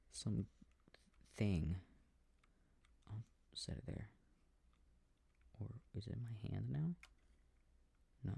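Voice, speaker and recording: A man softly rambling to himself as he plays a video game for a sleep aid, often dropping into whispers, with a breathy, gravelly voice close to the mic. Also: fairly low pitch, no echo. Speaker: audio creator playing a video game. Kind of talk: soft rambling gameplay talk for a sleep aid. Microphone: close, dry microphone.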